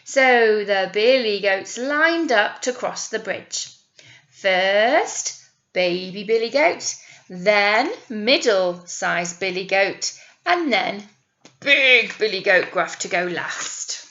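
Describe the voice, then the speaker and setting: A woman teacher reading a picture story aloud to young children, drawing out key words and pausing to ask them questions. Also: mid-range voice, animated, a bit bright, muffled recording. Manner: exaggerated, drawn-out words with questions to the listeners. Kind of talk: animated read-aloud storytelling with asides to children.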